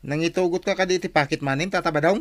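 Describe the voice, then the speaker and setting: A man's voice: fairly high pitch, quick pace, lightly acted. An actor playing a sociable, easygoing Filipino village man with a northern accent, talking colloquially in a comedic radio drama. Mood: friendly.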